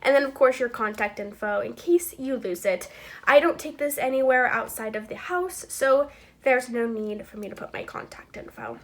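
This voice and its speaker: A woman's voice: on the high side, normal speed. A woman in her twenties, stuffy from a cold, hosting a chatty, upbeat budgeting walkthrough for her viewers. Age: 20s. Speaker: channel host.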